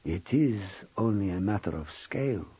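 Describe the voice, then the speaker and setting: Man voicing a slow, unnervingly calm older psychic woman. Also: mid-range voice, husky, dry recording, lo-fi sound. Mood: quietly menacing. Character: gaunt Romanian woman psychic, older than she looks, relentless, knowing.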